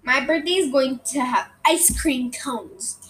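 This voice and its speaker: Woman doing an eager, quick little kid. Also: sits high, a bit bright, a little hiss or hum. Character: eager little child who loves swimming.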